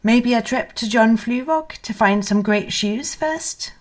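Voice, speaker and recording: A woman's voice: fairly high pitch, a little slow, loud music behind the voice. Woman reading a literary memoir. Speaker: reader of memoir excerpts.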